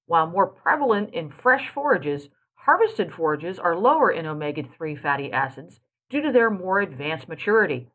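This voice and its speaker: A woman reading a scripted commercial with a smooth, lilting delivery. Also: on the low side, sing-song, moderate pace, hardly any pauses, a bit bright, low-quality recording. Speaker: ad announcer.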